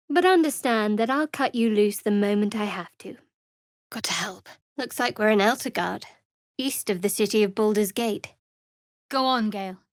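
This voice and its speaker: A woman's voice: mid-range voice, no room sound, studio quality, close to an everyday voice. A woman playing a guarded, sardonic young female cleric in a fantasy video game, speaking in a cool, wry, clipped manner. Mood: guarded, low-key, then scornful.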